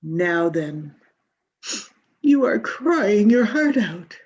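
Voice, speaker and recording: A woman's voice: medium pitch, big pitch swings, drawn-out words, darkish tone, full character voice. A woman playing a grandiose, exacting drama coach in a 1940s-style radio comedy, with theatrical, emphatic delivery. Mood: brisk, instructive. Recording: dull, muffled video-call audio.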